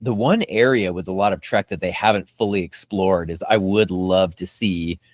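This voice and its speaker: Male podcast co-host, lowish voice, dry recording, enthusiastic rambling chat. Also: moderate pace, no room sound. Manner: enthusiastic, rambling, repeats words as he goes. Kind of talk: casual fan discussion.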